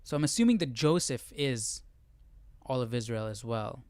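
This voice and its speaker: A man's voice: medium pitch, expressive, normal speed, a little mellow, no room sound. A man hosting a casual livestreamed study session, thinking aloud informally and reading passages out as he works through them step by step. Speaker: Bible study livestream host.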